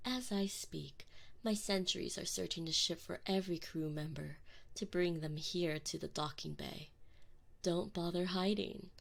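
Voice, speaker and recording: A woman's voice: low voice, full character voice. A woman voicing a sadistic, smug female alien enforcer in an ASMR roleplay, taunting and condescending, sometimes dropping to a whisper. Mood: stern, commanding. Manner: slow, taunting, condescending, slipping into breathy whispers. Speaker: audio roleplay performer. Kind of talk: ASMR roleplay acting, addressing the listener.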